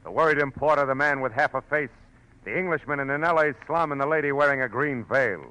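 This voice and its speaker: An actor playing a tough, wry private detective in 1940s American radio style, clipped and slangy. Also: male voice, medium pitch, fast, bright, forceful tone, close to an everyday voice, low-quality recording. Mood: grim, punchy. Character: hard-boiled male private detective, wry and unflappable. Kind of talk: 1940s radio detective acting, first-person narration. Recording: vintage radio recording with music behind.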